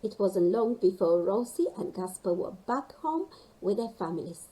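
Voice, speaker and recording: A woman reading a children's story expressively, animated and engaging young listeners. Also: mid-range voice, moderate pace, poor audio.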